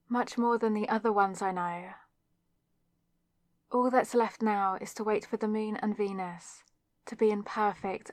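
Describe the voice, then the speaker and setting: A woman's voice: mid-range voice, no room sound, clean studio sound, lightly acted. A young woman playing a nervous, lovestruck student witch who chats earnestly to herself in an ASMR audio roleplay. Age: young adult. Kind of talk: ASMR audio roleplay, scripted solo monologue.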